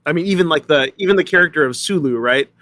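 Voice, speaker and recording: A man with a fairly high voice hosts a thoughtful discussion. He feels his way through ideas with repeated words and halting starts. The sound is dry, with no room on it. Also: animated, bright, forceful tone, no room sound. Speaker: host moderating a panel discussion.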